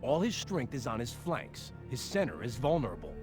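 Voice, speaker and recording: A decisive American colonel in a game cutscene. He talks bluntly and with confidence at a medium pitch, with music clearly heard behind him. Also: huge pitch swings, normal speed. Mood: determined. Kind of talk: video game cutscene dialogue acting.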